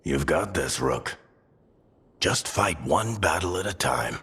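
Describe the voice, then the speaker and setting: A man playing a worldly, wry, encouraging mentor with a husky, gravelly voice in a video game. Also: deep voice, lively intonation, slow, sharp tone, heavily acted. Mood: wry, reassuring. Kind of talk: video game cutscene acting.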